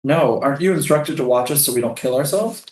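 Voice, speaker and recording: A man acts a poetic prisoner in a stage drama. He speaks in a mid-range voice with deliberate, lyrical phrasing and quiet defiance. The room is strongly echoey around him. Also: mid-range voice, lightly acted. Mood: poetic, intense. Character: male prisoner Jamil, dreamy, defiant, poetic. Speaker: actor in a staged reading. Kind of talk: theatrical staged reading.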